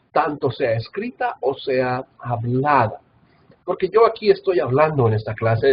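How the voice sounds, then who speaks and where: A man's voice: medium pitch, muffled recording, slight background noise. Earnest Mexican man lecturing on scripture. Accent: Mexican Spanish, Guadalajara. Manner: earnest, many filler "ah" and tag questions. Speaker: Bible institute professor. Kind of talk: recorded classroom lecture.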